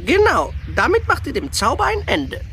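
Casting: wise old turtle aunt, gravelly, hugely animated, children's radio play. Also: female voice, sits high, soft background music, heavily acted. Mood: solemn, urging. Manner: slow, oracular, drawn-out delivery. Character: kindly ancient female turtle, wise and solemn.

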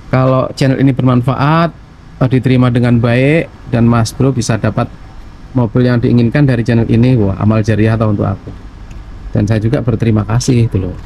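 A man's voice: mid-range voice, warm tone. A man with a Javanese Indonesian accent hosting a casual walkaround of used vehicles outdoors, chatting directly to his viewers. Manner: chatty, addresses viewers as Mas Bro. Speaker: channel host. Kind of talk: casual walkaround car review. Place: outdoor auction vehicle yard. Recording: faint outdoor background noise.

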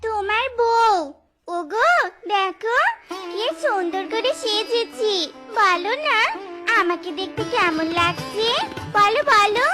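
A scheming little cartoon animal wife with an extremely high, childlike voice. She is feisty and dramatic, quick to scold and whine. Loud music plays behind her. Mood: coy. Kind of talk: exaggerated children's cartoon dialogue.

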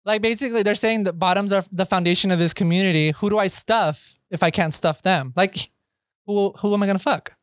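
A man's voice: sits high, steady tone, fairly quick, no room sound, studio quality. A man co-hosting a podcast, chatting casually in a feminine-sounding voice. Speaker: podcast co-host.

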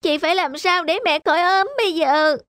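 Very high-pitched, vain little girl. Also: fairly even intonation, moderate pace, a bit bright, no echo, clean studio sound. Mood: worried, pleading. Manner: childlike, self-admiring, pleading. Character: vain, pampered young girl with long hair. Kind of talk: cartoon fairy tale acting.

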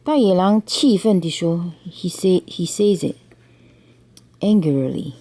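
A woman's voice: on the low side, lively intonation, takes it slowly, dark tone. A woman reads a picture book aloud to a child, explaining bilingually and checking understanding with questions. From Taiwan.

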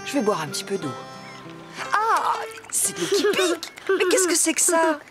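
A woman voicing a gently firm donkey mother in a preschool cartoon. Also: extremely high pitch, big pitch swings, moderate pace, over loud music. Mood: hot, startled.

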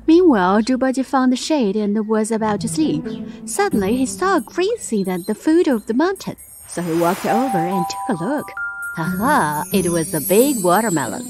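A woman's voice: mid-range voice, very animated, normal speed. A woman narrating a scripted children's story as a storyteller, with music playing behind her.

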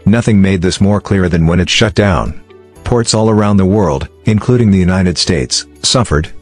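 A man reading a scripted explainer narration in a measured, formal way. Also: sits low. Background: background music.

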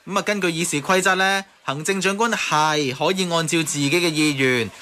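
A man's voice: a little high, moderate pace, sharp tone. An actor playing an earnest, argumentative man in a radio drama, emphatic and repetitive, with a Hong Kong Cantonese accent. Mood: indignant. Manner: insistent, emphatic, repeats words.

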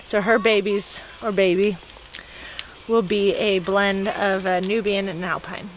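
A woman's voice: mid-range voice, steady tone, measured pace, slight background noise, poor audio. A woman in her thirties chatting casually outdoors on a rural homestead, cheerful and teasing. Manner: cheerful, teasing, coos to animals. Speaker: homesteading vlogger.

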